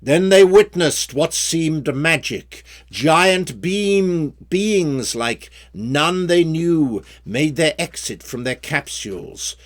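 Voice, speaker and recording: A man reciting his own rhymed story poems in a measured, sing-song metrical way. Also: on the high side, lively intonation. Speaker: poet reading own poems.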